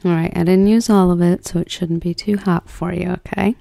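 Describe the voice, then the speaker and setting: Soft-spoken woman, low creaky ASMR voice. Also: fairly even intonation, brisk, no room sound. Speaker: ASMR host. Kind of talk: soft-spoken ASMR cooking role play.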